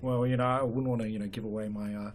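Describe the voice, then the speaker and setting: A man co-hosting a podcast, speaking in a measured, self-deprecating way with drawn-out fillers. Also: lowish voice, little pitch movement. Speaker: podcast co-host. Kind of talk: casual studio chat.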